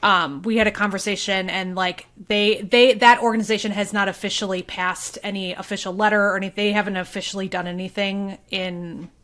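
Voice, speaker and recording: A woman speaking informally and playfully as a local reporter in a casual, animated podcast conversation. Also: medium pitch, a little fast, hardly any pauses.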